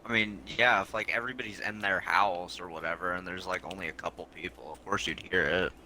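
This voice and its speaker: Man, very bright forceful tone, lowish pitch, dry sardonic casual chat, light noise. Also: fairly even intonation, brisk. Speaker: friend listening and commenting.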